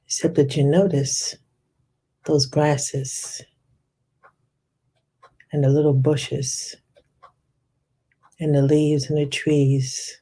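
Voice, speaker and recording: A meditation guide leading a guided visualization in a soft, soothing, unhurried way, lingering on descriptive words. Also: medium pitch, breathy edge.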